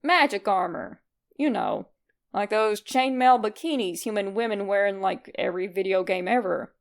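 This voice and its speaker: A woman's voice: medium pitch, big pitch swings, moderate pace, voice pushed down. Woman voicing a cocky, flirtatious skeleton monster. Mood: cocky teasing. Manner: swaggering, teasing, sarcastic.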